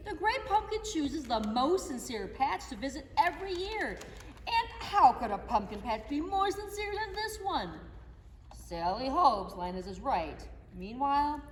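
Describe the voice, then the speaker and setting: A woman narrating a children's reader's theater story in an expressive, animated way, recorded from afar in an echoey hall. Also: sits high, a little hiss or hum. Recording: echoey hall, mic far off.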